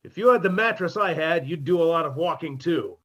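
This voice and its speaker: A man's voice: a little high, lively intonation, fairly quick, a bit bright. A man playing a shabby, self-pitying musician neighbor who delivers dry punchlines in a 1950s-style radio comedy.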